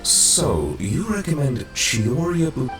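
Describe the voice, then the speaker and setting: A man's voice: medium pitch, big pitch swings, measured pace, music in the background. A man voicing a dignified, courteous official in a video game, speaking in a stately, formal manner.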